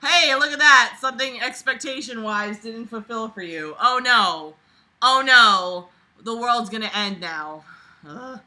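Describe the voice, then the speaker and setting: A woman with a very bright, piercing voice reads a book aloud for an online audience. She comments as she goes in a sarcastic, exasperated and freely swearing manner. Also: fairly high pitch, animated, unhurried, poor audio. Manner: sarcastic, exasperated, foul-mouthed.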